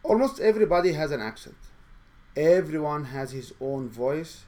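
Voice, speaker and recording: A man's voice: a little high, animated, moderate pace, heavy background noise. A man hosting his own show, giving an earnest coaching talk in English with a noticeable accent and some non-native grammar. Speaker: show host and speaking coach. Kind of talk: motivational monologue.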